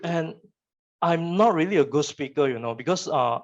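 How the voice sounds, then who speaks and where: A young man with a Malaysian accent and Chinese as his first language gives an informal talk to students as a guest speaker, in a halting, colloquial way that often repeats phrases. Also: on the high side. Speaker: guest speaker, photographer and digital artist. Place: online virtual session. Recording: muffled, lo-fi online call audio.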